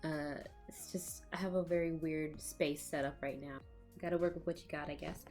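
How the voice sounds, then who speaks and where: A woman's voice: sits low, steady tone, moderate pace, a little mellow, soft background music. A woman with a cold, chatting casually with fillers and small laughs as she talks over her own painting video, recorded on a laptop mic at home. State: has a cold. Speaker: art vlogger. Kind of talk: casual voiceover for a speed paint. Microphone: laptop microphone.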